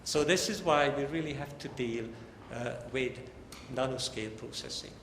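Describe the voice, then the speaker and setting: An older man giving a technical academic lecture in a halting, deliberate way. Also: mid-range voice, a bit bright. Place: large lecture hall. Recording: echoey hall with long reverb, background noise.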